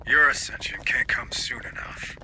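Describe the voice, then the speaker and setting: An actor playing a gruff, embittered marine leader in a video game, curt and commanding. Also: male voice, medium pitch, moderate pace, sharp tone, in a whisper, rough recording. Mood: wary, hostile. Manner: curt, hard-edged, commanding. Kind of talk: cinematic game acting.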